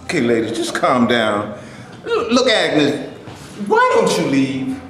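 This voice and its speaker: A man reading the part of a mild-mannered peacemaker in a staged play reading, speaking in a calming, placating way. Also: medium pitch, slow pace, exaggerated voice. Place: theater stage before a live audience. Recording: distant voice on echoey stage, faint noise.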